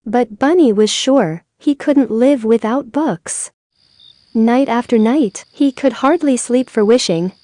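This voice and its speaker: A woman narrating a children's story aloud, with expressive, deliberate phrasing. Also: on the high side, dry recording, studio quality.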